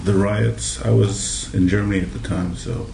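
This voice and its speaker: A man answering interview questions in a low-key, matter-of-fact way. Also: steady tone, moderate pace, gravelly, dark tone, echoey room, slight background noise. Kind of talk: oral history interview.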